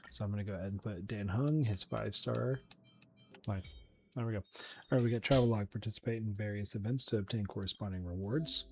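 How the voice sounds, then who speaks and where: A man's voice: on the low side, fairly quick, a little hiss or hum. A man giving casual, easygoing game commentary, thinking aloud as he plays. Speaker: host of a game playthrough channel. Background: game music behind the voice.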